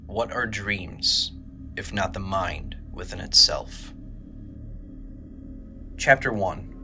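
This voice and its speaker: Low-voiced man narrating over music. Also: slow, bright, forceful tone. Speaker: narrator, writer and director. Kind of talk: scripted audio drama narration.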